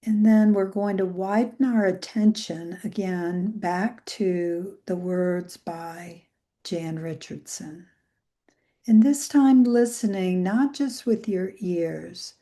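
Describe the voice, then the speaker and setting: A woman leading a guided meditation in a gentle, soothing way, with soft phrase endings that trail into breath. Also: medium pitch. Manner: gentle, soothing, unhurried with long pauses.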